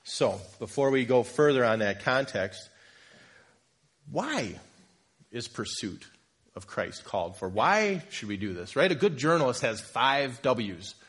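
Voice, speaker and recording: Husky-voiced man preaching casually. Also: mid-range voice, lively intonation, a little slow, a bit bright. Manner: conversational, jokes with congregation, self-deprecating. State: slight cold, sniffly. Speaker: lay preacher. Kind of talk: informal sermon. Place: church.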